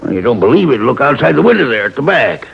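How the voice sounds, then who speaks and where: An actor playing a shifty, blustering American swindler in a radio crime drama, talking in rough slang and stammering when pressed. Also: male voice, medium pitch, very animated, fast, exaggerated voice. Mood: surly, defensive. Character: crooked, blustering male swindler, cornered and shifty. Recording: muffled, noisy old radio broadcast.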